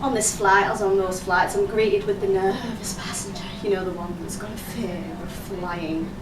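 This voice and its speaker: A woman's voice: medium pitch, fairly even intonation, normal speed, close to an everyday voice. An actress playing a rueful, sardonic laid-off flight attendant in her fifties, with a Yorkshire accent, in a theatrical monologue. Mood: wry, sardonic. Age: 50s. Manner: wry, confessional, sweary. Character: rueful fifty-two-year-old laid-off flight attendant, sardonic and earthy. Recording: roomy, distant mic, loud background noise.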